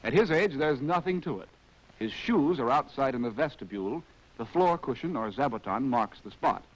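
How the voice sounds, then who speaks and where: A man's voice: medium pitch, expressive, normal speed, rough recording. An American man narrating a scripted 1940s training film in a wry, arch, theatrical way, on a vintage film soundtrack.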